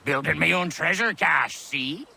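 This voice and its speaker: Gravelly, high-pitched sly old sailor. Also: male voice, measured pace, pushed and bright, exaggerated voice. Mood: teasing. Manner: teasing, salty pirate speech. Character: cackling, sly old salty sailor hoarding trinkets.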